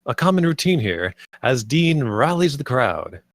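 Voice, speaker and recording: Guy reading screenplay action lines, medium pitch, narrator style, no room echo. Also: big pitch swings, a little slow, a bit bright, clean studio sound. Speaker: narrator reading stage directions.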